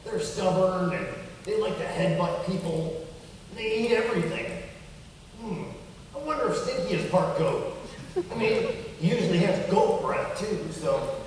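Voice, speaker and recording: A man's voice: high-pitched, animated, a little slow. A man playing a wisecracking, skeptical male puppet in a children's show, with a slangy, sarcastic way of talking. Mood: wry. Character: sardonic, gruff-minded, wisecracking male puppet. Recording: echoey hall from far off, faint noise.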